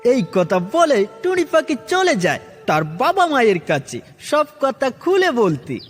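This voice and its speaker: A man narrates a children's cartoon story in an unusually high voice. His pitch swings in a lively way, and long reverb trails his words. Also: a little slow. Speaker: narrator and channel host. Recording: heavy reverb with music.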